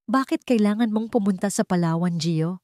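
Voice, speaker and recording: A woman's voice: mid-range voice, normal speed, warm tone, no echo, lightly acted. A woman voicing a suspicious, hurt young wife who questions insistently in an audio romance story. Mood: questioning. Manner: questioning, pleading, emotional.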